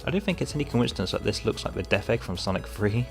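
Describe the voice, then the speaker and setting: A man's voice: on the low side, very animated, fast talker, soft background music. A man delivering a scripted review narration as a reviewer, with sardonic, wry asides. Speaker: comic book reviewer.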